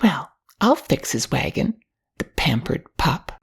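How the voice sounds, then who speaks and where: A woman's voice: low-pitched, very animated, slow pace, creaky, husky, heavily acted. A woman voicing a flippant, boozy young dancer from a 1930s story, with slangy, teasing talk. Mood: scornful.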